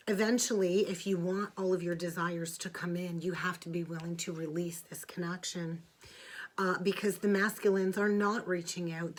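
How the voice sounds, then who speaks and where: Middle-aged woman, slightly low voice, tarot reader, blunt and outspoken. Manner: blunt, frank and emphatic, with salty language. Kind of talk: spiritual forecast monologue.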